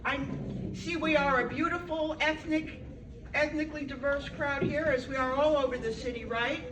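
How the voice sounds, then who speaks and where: A woman's voice: sits high, fairly even intonation, normal speed. A woman, doing a chatty, self-deprecating stand-up set and bantering with the audience. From Brooklyn, New York. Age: 70s. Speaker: stand-up comedian. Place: senior center hall. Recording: echoey hall, from far off, loud crowd. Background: audience reactions and room noise.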